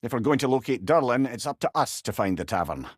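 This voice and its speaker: A man's voice: medium pitch, sing-song, fast, slightly rough, no echo, studio quality. A man playing a wise, wry old advisor in a video game, a chatty and learned storyteller with a Scottish accent. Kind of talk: video game character dialogue.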